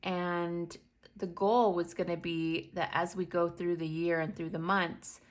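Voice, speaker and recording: A woman chatting through a plan-with-me vlog, her voice a little raspy from being sick, rambling along with frequent ums. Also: fairly low pitch, level tone, a little slow. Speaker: planner vlogger.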